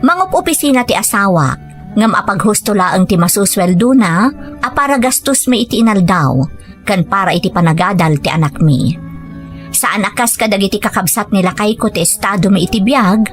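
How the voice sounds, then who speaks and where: A woman's voice: medium pitch, animated, normal speed, faint music behind, natural voice. A woman playing a patient, caring young wife and mother with a Filipino Ilocano accent, in radio drama dialogue and narration. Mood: reflective.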